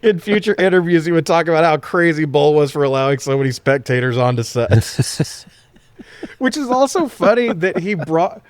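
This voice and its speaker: A man's voice: on the high side, expressive, normal speed, no room sound. A man co-hosting a podcast, sharing movie trivia in a jokey, conversational, sometimes sweary way. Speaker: podcast co-host. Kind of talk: casual film-trivia chat, partly reading facts.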